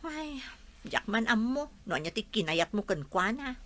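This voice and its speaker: A frank confidante in an Ilocano radio drama. Her voice is highish and forceful, bright and close, with an Ilocano Filipino accent. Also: big pitch swings, moderate pace. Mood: reporting. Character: frank woman confidante advising her troubled friend.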